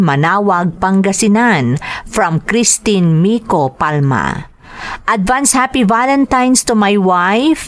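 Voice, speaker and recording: A woman presenting a radio drama, reading out greetings and a listener's letter in a scripted style. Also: medium pitch.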